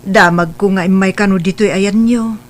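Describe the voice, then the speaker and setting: A woman's voice: medium pitch, steady tone, normal speed, slight background noise, lightly acted. A woman playing a middle-aged, remorseful mother in an audio drama, speaking plaintively and earnestly with an Ilocano accent. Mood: troubled. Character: remorseful mother pleading for her estranged son's forgiveness. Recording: music bed behind the voice.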